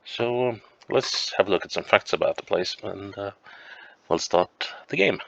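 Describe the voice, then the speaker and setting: A man with a flu, sounding croaky and sniffly, thinking aloud slowly and hesitantly as he plays a map game at home. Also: on the low side, dull audio. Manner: slow, musing, hesitant with many ums. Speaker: game streamer. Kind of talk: thinking-aloud gameplay commentary.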